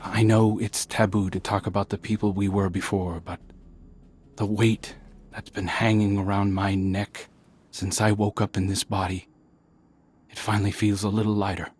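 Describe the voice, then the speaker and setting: Reflective, low-voiced haunted young scout. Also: male voice, flat delivery, moderate pace, darkish tone, breathy edge. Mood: reflective, cautiously hopeful. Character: humble, haunted young male scout seeking a second chance. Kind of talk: dramatic game cutscene monologue.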